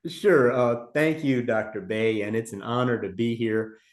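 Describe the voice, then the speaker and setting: Male guest doctor from Cleveland, measured and hesitant, roomy echo. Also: mid-range voice, fairly even intonation. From Cleveland, Ohio. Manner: measured, hesitant with frequent pauses. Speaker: infectious disease specialist, guest. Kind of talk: personal story on a panel. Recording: echoey room.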